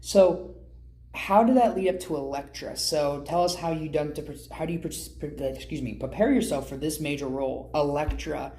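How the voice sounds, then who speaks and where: A man interviews as a podcast host in a conversational, wordy style. His mid-pitched voice is mellow with plenty of fry. He rambles through his questions, and the room around him echoes. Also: moderate pace. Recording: roomy echo.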